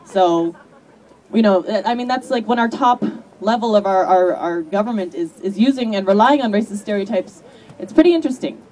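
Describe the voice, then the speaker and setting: A woman with a warm, mellow, slightly high voice addresses an outdoor rally in a loose, conversational way. A faint outdoor hiss sits underneath. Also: low-quality recording. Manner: conversational, many "you know" fillers. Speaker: activist speaker at a rally. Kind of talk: rally speech.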